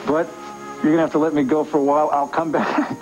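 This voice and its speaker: An actor playing a devoted, reassuring male sweetheart in a television soap, tender and a little halting. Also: fairly high pitch, flat delivery, natural voice. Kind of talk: television soap drama acting. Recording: loud music over dialogue.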